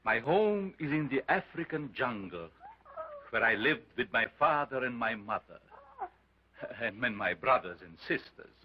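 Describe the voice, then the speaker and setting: A man playing a grandiose carnival showman and self-styled scientist, speaking in a booming, theatrical way. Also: expressive, a little slow, sharp tone, slight background noise, rough recording. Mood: solemn. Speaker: sideshow doctor presenting an ape.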